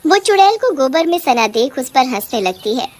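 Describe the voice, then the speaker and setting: A woman's voice: high voice, sing-song, moderate pace, noisy background. A woman reading scripted narration for a cartoon horror story as the storyteller.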